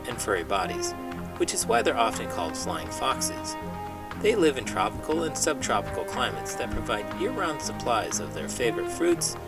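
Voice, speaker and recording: Animated man reading aloud over loud music. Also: mid-range voice, distant mic. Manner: expressive, dramatic delivery. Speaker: children's book reader, teacher. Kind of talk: animated children's read-aloud narration.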